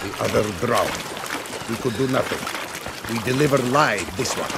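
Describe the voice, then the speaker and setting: Creaky, stern foreign officer. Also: male voice, mid-range voice, animated, heavy background noise. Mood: grim, vengeful. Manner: solemn, formal, halting syntax. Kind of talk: video game voice acting.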